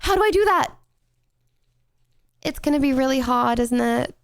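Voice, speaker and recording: A young British woman with a high, smooth, clear voice chats playfully through a live game, bursting into excited exclamations. She sits close to a desk microphone, and the sound is dry and close. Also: normal speed, no echo. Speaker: streamer. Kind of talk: casual gameplay commentary to chat.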